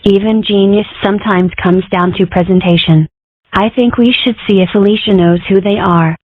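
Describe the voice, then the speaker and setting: A woman's voice: medium pitch, fairly even intonation, normal speed, no room sound, natural voice. Woman playing inquisitive young cartoon girl, theatrical, wry, comic dub, muffled phone-quality recording. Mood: scheming, musing.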